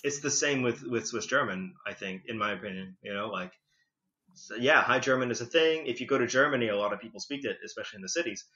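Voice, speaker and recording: A man's voice: mid-range voice, fast. A man in his thirties, a podcast guest telling his story in a casual, chatty way, on a dull lo-fi recording. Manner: chatty, lively, leans on "like" and "you know".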